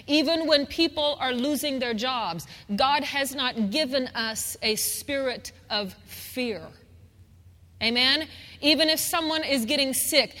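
A woman with a high voice preaches a fervent sermon and keeps asking her congregation for an amen. She repeats key phrases and builds them up. Her voice rings through a big reverberant hall. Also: bright, forceful tone. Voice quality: singer. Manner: call-and-response, repeats phrases with rising fervor.

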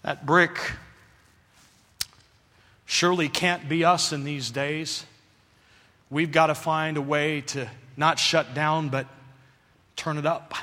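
A man's voice: on the high side, slow pace, long pauses, big-room sound. A man preaching a sermon, telling a story and calling on the congregation to answer him. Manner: animated, calls for congregation response.

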